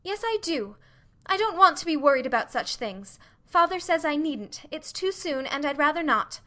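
Lady doing a shy flustered teen girl, really high and bright, bit petulant, storybook style. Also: animated, normal speed. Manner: faltering, stammering.

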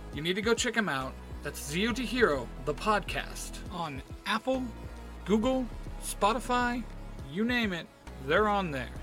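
A man doing a cheeky, chatty advertisement that speaks straight to the listener like a salesman. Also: high voice. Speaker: podcast promo announcer. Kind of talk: chatty direct-address advertisement pitch. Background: faint music.

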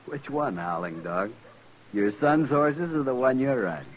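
Cocky young lieutenant played by a man, swinging pitch. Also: expressive, measured pace, a little hiss or hum, lightly acted, poor audio. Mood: taunting. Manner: mocking, needling. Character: cocky young army lieutenant, taunting and swaggering. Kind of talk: 1950s radio western acting. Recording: vintage 1950s radio recording.

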